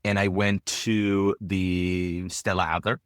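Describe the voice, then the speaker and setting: A deep-voiced man talks through his story in loose, rambling sentences full of "um" and "you know." The sound is close and dry, with no room around it. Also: level tone, takes it slowly, clean tone, no echo. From Israel. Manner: rambling, many fillers and restarts. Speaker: podcast guest, filmmaker. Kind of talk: casual interview chat.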